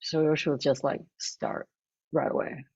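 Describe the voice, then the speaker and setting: A woman whose first language is Chinese, a cookbook author talking about her work in a halting way with many restarts. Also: low voice, level tone, a little mellow, husky, highs cut off. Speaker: cookbook author and food blogger. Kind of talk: bookstore author talk.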